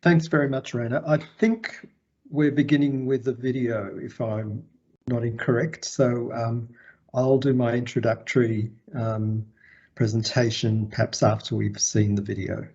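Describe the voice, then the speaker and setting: A man's voice: mid-range voice, fairly even intonation, measured pace, darkish tone, husky. A man presenting at an event, making hesitant, informal opening remarks. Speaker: presenter, chief executive. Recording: dull lo-fi event audio.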